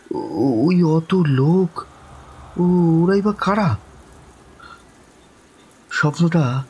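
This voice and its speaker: A man acting as the shaken first-person narrator of a horror audio story, halting and suspenseful, with dramatic pauses. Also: medium pitch, a little mellow, breathy edge. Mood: bewildered. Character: shaken, haunted man recounting a ghostly dream.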